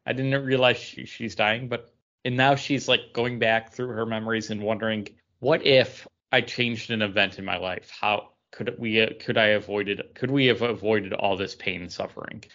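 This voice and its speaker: A man co-hosting a podcast, casually recapping comics in a halting way, restarting mid-sentence. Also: fairly low pitch, fairly even intonation, fairly bright tone. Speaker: podcast co-host. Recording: dull, lo-fi sound.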